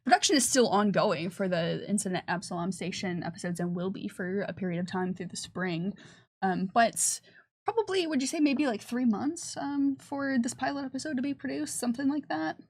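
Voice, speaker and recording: A woman with a middle-pitched voice speaks casually on a livestream. She explains things as a developer taking questions, working out her answers as she talks. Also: animated, a little fast. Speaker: game developer presenting on a company livestream.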